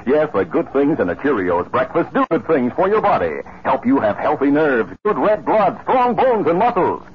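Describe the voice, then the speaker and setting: A man's voice: fairly high pitch, very animated, moderate pace, a little hiss or hum. A man with an American accent, narrating dramatically as a 1950s radio announcer. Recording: muffled 1950s radio recording. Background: music.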